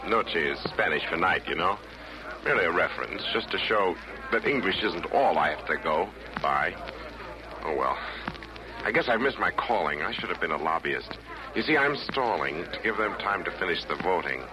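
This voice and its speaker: Deep-voiced jaunty announcer from hell. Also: male voice, animated, moderate pace. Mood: chatty, jokey. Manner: chatty, wisecracking, mock-newsreading. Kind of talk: 1940s radio comedy acting in rhymed verse. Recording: noisy old 1940s radio recording.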